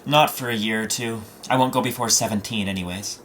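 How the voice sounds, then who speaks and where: A man's voice: fairly low pitch, brisk, fairly bright tone, slight background noise, close to an everyday voice. A man playing a shy, polite, good-natured teenage boy in a period audio play, with a courteous manner. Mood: candid.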